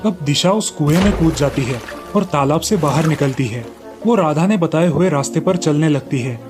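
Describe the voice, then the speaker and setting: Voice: medium pitch, animated, moderate pace, music in the background. A narrator reading a scripted moral story in storybook style.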